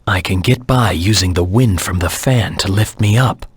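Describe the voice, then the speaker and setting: A cold, determined hedgehog anti-hero from a video game. The voice is low and heavily rasped, recorded dry and close. He talks tersely and seriously, as if weighing each move to himself. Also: dry recording. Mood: calculating. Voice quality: husky, gravelly. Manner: terse, serious, deliberate self-talk. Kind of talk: video game character voice lines.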